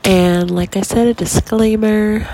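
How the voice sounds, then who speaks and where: A woman's voice: mid-range voice, fairly even intonation, measured pace, mellow, a little hiss or hum, poor audio. A young woman hosting her own podcast while congested from a cold, chatting casually and encouragingly with plenty of ums. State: congested with a cold, stuffy nose. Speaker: podcast host. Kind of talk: casual solo podcast advice.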